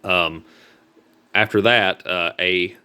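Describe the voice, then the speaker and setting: Male, Southern US accent, deep but hard-edged voice; earnest, folksy, halting vlog delivery. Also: fairly even intonation, sharp tone, clear, resonant tone. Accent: Southern American. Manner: halting, repetitive, earnest telling with folksy grammar. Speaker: street preacher. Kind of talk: informal personal vlog talk.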